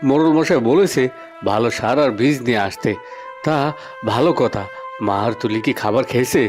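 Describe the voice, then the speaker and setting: A man's voice: mid-range voice, fairly quick, faint music behind, lightly acted. A man voicing a tired, hardworking village farmer husband in a cartoon, plain-spoken and homely. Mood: earnest. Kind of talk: cartoon voice acting.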